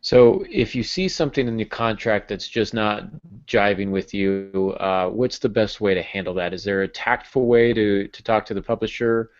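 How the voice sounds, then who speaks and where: A man's voice: lowish voice, moderate pace, hardly any pauses. An American man in his thirties casually interviewing as a podcast host over a video call. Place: home room with bookshelves. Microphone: video call with earbuds. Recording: dull video-call audio.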